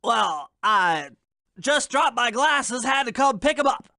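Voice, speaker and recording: A small, earnest turtle boffin in a video game. The voice is high, pinched and piercingly bright, with fussy, bookish, precise phrasing. It sounds close and dry. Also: male voice, animated, takes it slowly, dry recording, exaggerated voice. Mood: flustered. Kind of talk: video game character voice acting.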